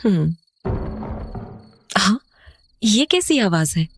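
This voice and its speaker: A woman's voice: mid-range voice, sing-song, very slow, faint music behind, heavily acted. A woman playing an uneasy young mother, stern but caring, with a questioning, worried manner in an audio horror drama. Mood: alert. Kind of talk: audio horror drama acting, Hindi-English dialogue.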